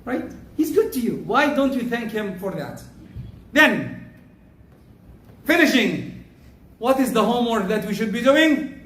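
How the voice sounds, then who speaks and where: A man giving a Friday sermon as khatib, loud and emphatic, stressing words as he preaches. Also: extremely high pitch, sing-song, unhurried, long pauses. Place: mosque prayer hall. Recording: echoey hall, mic from far off.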